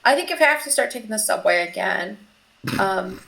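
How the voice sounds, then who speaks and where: A woman's voice: medium pitch, expressive, fairly quick, gravelly, fairly bright tone, echoey room, poor audio. A woman co-hosting a podcast, chatting casually among friends, crude and joking as she rambles. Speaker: podcast co-host.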